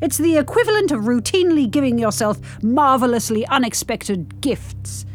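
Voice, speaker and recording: A cantankerous older woman narrates her life with wry impatience, acted by a woman reading aloud. The voice sits fairly high and moves expressively, over faint music. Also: lively intonation, moderate pace. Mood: wry, pessimistic. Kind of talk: first-person story reading.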